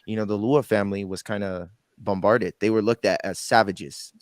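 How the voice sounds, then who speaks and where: A man's voice: fairly low pitch, fairly even intonation, normal speed, no room sound, studio quality. A man speaking as a guest on a live online panel, rambling and apologetic as he talks over his time.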